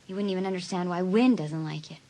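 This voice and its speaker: An actress playing a troubled, uneasy teenage schoolgirl with a husky voice in an audio drama. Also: fairly low pitch, fast, dark tone, natural voice.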